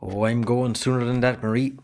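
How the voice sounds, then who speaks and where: A man's voice: medium pitch, little pitch movement, a little fast, a little mellow, natural voice. A man playing a guarded, secretive young farmer's son with an Irish accent in an amateur drama. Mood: guarded, stubborn.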